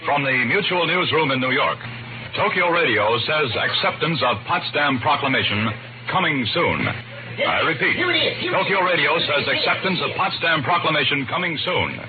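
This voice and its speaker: A man's voice: a little high, animated, normal speed, phone-quality sound, over music. A man reading urgent bulletins as a 1940s radio newsreader, on an old archive recording.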